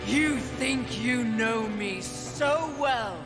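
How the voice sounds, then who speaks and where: A woman playing a fierce, suspicious horsewoman warrior in a video game, forceful and confrontational. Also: a little high, very slow, big-room sound. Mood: defiant anger. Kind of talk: video game cutscene acting. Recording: loud music behind.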